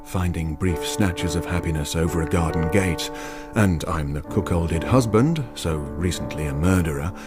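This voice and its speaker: A man's voice: sits low, normal speed, music in the background, lightly acted. A man performing as a wry, knowing narrator of a literary radio drama. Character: wry puppet-master narrator voicing the story's players.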